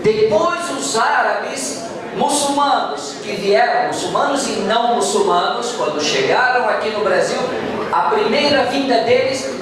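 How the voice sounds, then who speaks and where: A man giving a slow, emphatic public address to a congress audience, calling for their attention. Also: extremely high pitch, animated. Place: large hall with audience. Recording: echoey hall, far from mic, crowd behind.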